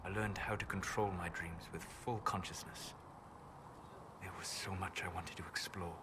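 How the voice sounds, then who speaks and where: Reserved, scholarly elven mage, played by a man for a fantasy game: low, formal, thoughtful delivery. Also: steady tone, natural voice. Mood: reflective. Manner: measured, formal, thoughtful phrasing. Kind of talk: video game dialogue acting. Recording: clear ambient noise behind game dialogue.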